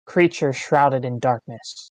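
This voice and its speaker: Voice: medium pitch, takes it slowly, dry recording. Dry-sounding narrator reading clipped stage directions. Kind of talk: scripted screenplay narration. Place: online meeting, close dry mic.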